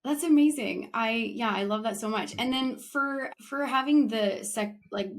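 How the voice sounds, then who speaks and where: A woman's voice: on the high side, measured pace. A woman from Canada hosting a casual podcast interview, upbeat and chatty, with an enthusiastic manner. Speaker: podcast host, realtor.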